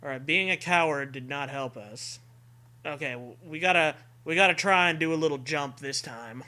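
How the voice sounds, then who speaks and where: A man's voice: fairly high pitch, moderate pace, pushed and bright. A man co-hosting a podcast, reading a gamebook aloud in a playful, theatrical way with joking commentary. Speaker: podcast co-host. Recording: faint background noise.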